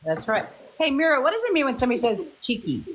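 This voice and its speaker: A woman's voice: high-pitched, big pitch swings, fast. Woman hosting a live stream, faint hiss. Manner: friendly, greets viewers by name. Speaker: host.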